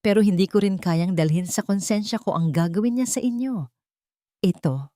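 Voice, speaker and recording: A woman's voice: medium pitch, normal speed, mellow, no echo, studio quality, natural voice. Woman voicing a desperate, trembling uncle. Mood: panicked. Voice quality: trembling, breaking voice. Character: terrified pleading uncle, weepy and desperate man.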